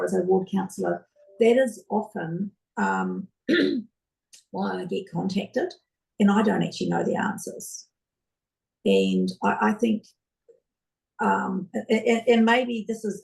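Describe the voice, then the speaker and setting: A woman ward councillor speaking hesitantly in a council committee meeting, her voice croaky. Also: mid-range voice, a little mellow, far from the mic, poor audio. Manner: hesitant, searching for words. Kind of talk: committee discussion.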